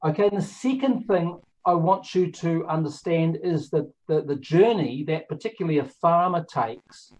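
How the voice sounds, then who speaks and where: A man with a New Zealand accent, a company managing director giving a presentation on a video call, speaking slowly and deliberately. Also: fairly high pitch, darkish tone. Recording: dull lo-fi video call audio.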